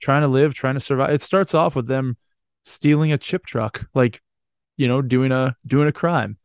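A man chats as a podcast host in a mid-pitched voice. The recording is close and dry, and his delivery is casual and joking, wandering from thought to thought. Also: normal speed, clean tone, dry recording. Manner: joking, profane, rambling. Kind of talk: casual, bantering podcast chat.

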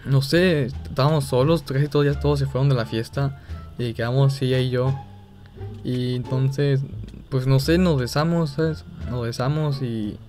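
A young man with a Mexican accent talking casually and haltingly on a phone call, sounding embarrassed. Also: medium pitch, a little mellow. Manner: hesitant, halting, feigning embarrassment. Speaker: gaming video creator making a prank call. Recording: music playing behind the voice. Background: music.

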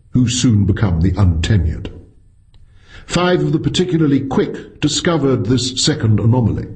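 Expressive male audiobook narrator, animated pitch. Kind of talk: scripted narration of a science fiction short story.

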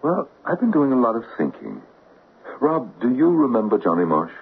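A man's voice: mid-range voice, very animated, normal speed, breathy edge, phone-quality sound, exaggerated voice. An actor playing a thoughtful, probing middle-aged father in a 1970s radio drama. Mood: pensive. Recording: thin, noisy 1970s radio broadcast.

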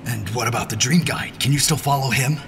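A man's voice: mid-range voice, fairly quick, over music, natural voice. An actor playing an anxious, skeptical man in a fantasy audio drama, questioning and agitated in manner. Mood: disbelieving. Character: anxious, skeptical male companion monitoring dream connections.

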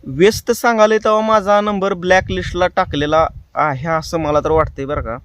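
A man's voice: high voice, steady tone, moderate pace, a bit bright, slight background noise. A man with a rural Maharashtra Marathi accent, hosting prank calls and chatting casually to his viewers with colloquial tag phrases. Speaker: prank call show host.

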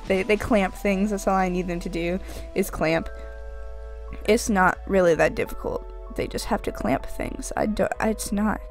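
Young woman narrating her craft vid into a desk mic, stuffy nose, rambly and jokey, mid pitch, faint music under. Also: a little slow. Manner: rambling, self-deprecating, chatty. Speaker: craft vlogger. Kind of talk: casual voice-over narration. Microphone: desk microphone, voice-over.

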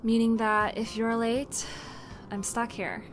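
A woman's voice: a little high, little pitch movement, slow pace, over music. A woman playing a blunt, casual shop co-worker in an audio drama, unfussy and plain-spoken. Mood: curt, amused. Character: curt, casual shop co-worker ending her shift.

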